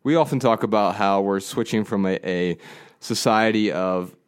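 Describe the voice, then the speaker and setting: A man's voice: fairly low pitch, moderate pace, clean tone. A man in his thirties co-hosting a podcast in casual chat, his voice a little nasal from a cold, rambling with restarts and fillers. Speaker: podcast co-host.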